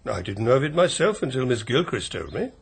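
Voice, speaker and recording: An English actor in a British radio drama playing a courteous older family solicitor with a formal, politely understated manner. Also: male voice, mid-range voice, sing-song, a little fast, gravelly, slightly rough, full character voice. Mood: polite, measured. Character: courteous, discreet older male family solicitor, dryly tactful.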